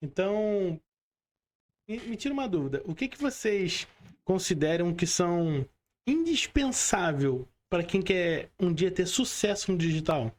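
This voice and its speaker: A man's voice: high voice, sing-song, a little slow. A Brazilian man hosting a casual interview, putting questions to his guests. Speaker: podcast host.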